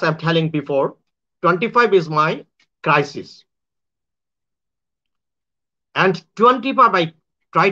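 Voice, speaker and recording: High, bright-voiced Bangladeshi man rambling. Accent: Bangladeshi English. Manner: rambling, with many restarts and self-corrections; grand, boastful claims. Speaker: online show host. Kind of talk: casual monologue to viewers. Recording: dull lo-fi sound.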